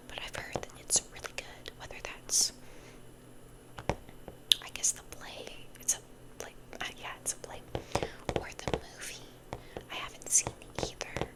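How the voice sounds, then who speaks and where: A young woman hosting ASMR, rambling casually in a whisper and repeating words and phrases for effect. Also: poor audio. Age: young adult. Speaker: ASMR video host.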